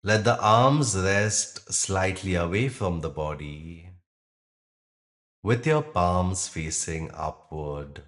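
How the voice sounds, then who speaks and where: A man leading a guided yoga nidra meditation, speaking calmly and gently in short, unhurried phrases with pauses. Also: low-pitched. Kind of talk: guided meditation, slow instruction with long pauses.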